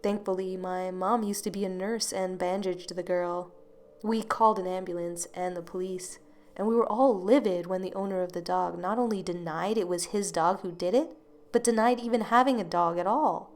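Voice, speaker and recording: A woman narrating a first-person horror story in a soft, hushed way that sometimes drops to a whisper. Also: on the low side.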